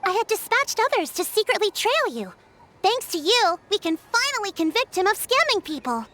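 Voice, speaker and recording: Bright, high-voiced female chief. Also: big pitch swings, full character voice. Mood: assured. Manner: assured, commanding, deliberate. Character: composed, authoritative female village chief. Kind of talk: video game voice acting.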